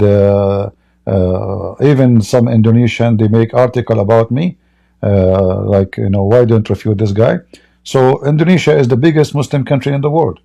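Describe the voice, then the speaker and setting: Gravelly, mellow-toned debate host. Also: male voice, mid-range voice. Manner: direct, emphatic. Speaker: host of a live debate stream. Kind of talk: live-stream call-in reply.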